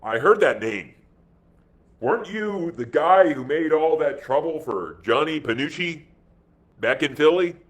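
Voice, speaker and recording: A man's voice: fairly high pitch, lively intonation, unhurried. A man voicing a big, sly American gangster in an adventure story, slangy and sardonic. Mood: menacing.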